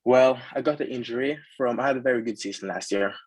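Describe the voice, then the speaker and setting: A man's voice: mid-range voice, fast, darkish tone, breathy edge, no echo, rough recording. A man in his late teens with a Norwegian accent, chatting casually as an interview guest. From Norway. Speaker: athlete guest.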